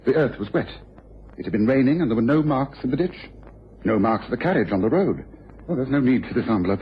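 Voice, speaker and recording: An actor playing a shrewd, self-assured English gentleman detective in an old-time radio drama. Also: medium pitch, lively intonation, fast, lightly acted. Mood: smug, explanatory. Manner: articulate, authoritative, self-assured. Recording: dull, hissy old radio recording.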